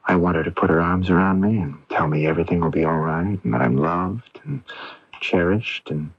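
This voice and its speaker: Patient male therapist, lowish husky voice, soft and measured, radio drama, dull AM recording. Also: dry recording. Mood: gentle, probing. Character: gentle, probing male therapist, patient and confiding.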